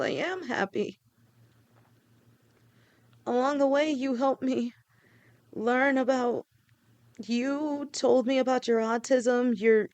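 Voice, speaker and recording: Woman playing a shy, snake-tailed lamia girlfriend. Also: fairly high pitch. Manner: soft, halting, stammering. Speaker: girlfriend in a listener roleplay. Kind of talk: audio roleplay.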